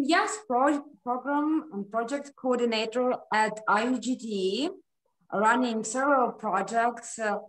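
A woman's voice: fairly high pitch, reverberant, low-quality recording. A woman from Ukraine, a guest in an interview who speaks earnestly with many hesitations. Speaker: program coordinator, guest. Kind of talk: casual video interview.

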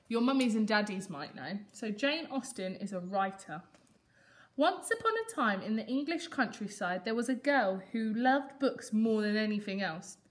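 Young female early years teacher, slightly high voice, expressive read-aloud for small children. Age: young adult. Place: school classroom reading corner, indoors.

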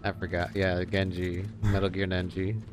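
A man's voice: level tone, normal speed. A man in casual let's-play chat, guiding another player through a video game. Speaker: gaming commentator guiding a player. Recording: game music under the voices. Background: video game music and rumble.

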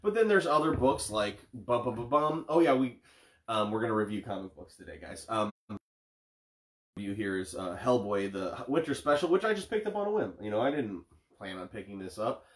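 A man in his twenties co-hosting a comic book show, nasal from a cold, talking in an excitable, rambling way from a living room couch on a camera mic. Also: on the low side, brisk. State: stuffy nose from a cold. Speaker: podcast co-host. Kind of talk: casual chat. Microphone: far camera. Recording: roomy living-room echo.

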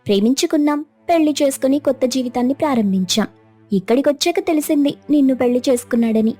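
Woman playing a mellow, defiant younger sister. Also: sits high, quick pace, soft background music. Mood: self-justifying. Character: defiant, selfish younger sister, newly married. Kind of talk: animated moral story dialogue.

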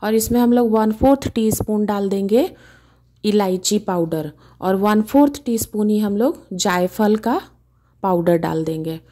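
A woman's voice: mid-range voice, measured pace, dark tone. A woman hosting her own cooking channel, chatting through a recipe step by step in her home kitchen. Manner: chatty, homely step-by-step explaining.

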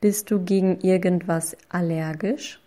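Woman reading lesson phrases really slow, each one twice, calm. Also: lowish voice. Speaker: language lesson voice.

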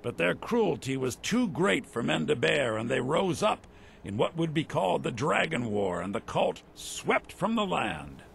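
A man's voice: a little high, sing-song, measured pace, gravelly, fairly bright tone, slight background noise, full character voice. An actor playing an earnest, commanding Imperial army captain in a fantasy game, speaking formally as he explains his mission. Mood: grave, explaining. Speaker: game character. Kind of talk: scripted fantasy game dialogue.

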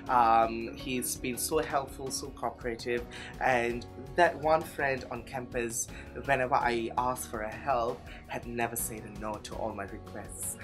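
A young man in his twenties from Malaysia with a Malaysian accent, speaking haltingly in a documentary interview, often searching for words. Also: mid-range voice. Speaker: student interviewee. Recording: music bed under voice. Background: music.

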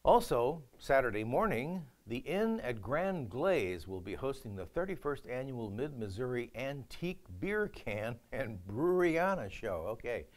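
A man's voice: mid-range voice, sing-song, unhurried, few pauses. Folksy older male local news anchor. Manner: folksy, jokey delivery. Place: studio.

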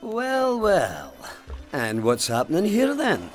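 Stern pious sergeant, Scottish accent, officious, animated intonation, audio drama. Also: male voice, high voice, slow pace, faint music behind, heavily acted. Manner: officious, pompous, indignant. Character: stern, pious, unyielding male police sergeant. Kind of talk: full-cast audio drama acting.

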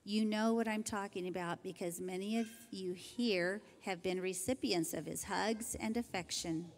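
Older woman teacher in an echoing church. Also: mid-range voice. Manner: gentle, measured, reading from notes. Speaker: kindergarten teacher. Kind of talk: reading graduation introductions. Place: large church sanctuary. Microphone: handheld microphone. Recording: hall reverb from a church PA.

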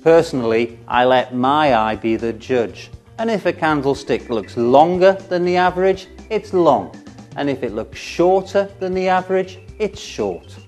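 A man's voice: fairly high pitch, expressive, unhurried, darkish tone. A man presents scripted educational narration, rhetorical in style and leaning hard on key words. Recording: music under the voice.

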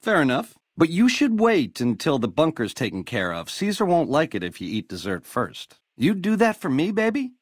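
An actor playing a slick, cocky American casino gangster boss in a video game, swaggering through retro lounge slang. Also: male voice, medium pitch, no echo, clean studio sound. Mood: wry, scheming. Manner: swaggering retro lounge-lizard slang, calls people baby. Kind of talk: video game character dialogue.

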